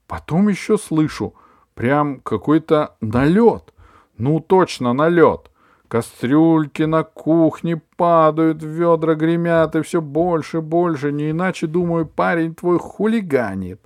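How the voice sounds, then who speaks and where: A man voicing a fussy, excitable middle-aged woman neighbour who talks in a high, loud, drawn-out way. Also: no room sound, clean studio sound, lightly acted. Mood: indignant gossip.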